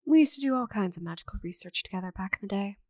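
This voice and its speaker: A woman playing a friendly, chatty, slightly scatterbrained forest witch in an ASMR roleplay audio drama, with a gentle, theatrical manner. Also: medium pitch, animated, racing, no room sound, clean studio sound, natural voice. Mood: wry reflective.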